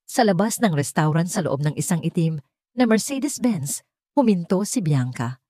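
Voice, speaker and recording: A woman's voice: fairly low pitch, animated, normal speed, dark tone, no room sound, clean studio sound. A woman with a Filipino accent reading a scripted story as a dramatic narrator.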